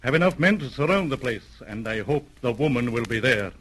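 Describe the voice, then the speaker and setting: A man's voice: medium pitch, lively intonation, fairly quick, close to an everyday voice. Man acting a clipped, authoritative British police chief. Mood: brisk, commanding. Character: brisk, determined British police superintendent leading a manhunt. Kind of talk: 1930s-40s radio drama acting. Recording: noisy, clicking vintage radio recording.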